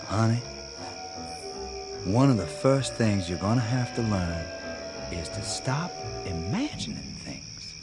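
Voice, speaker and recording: An actor playing an easygoing young country fiancé, folksy and soothing, in a radio drama. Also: male voice, on the low side, big pitch swings, takes it slowly, pauses often, loud music behind the voice, full character voice. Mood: coy, soothing. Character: easygoing young country husband-to-be with secretive calm.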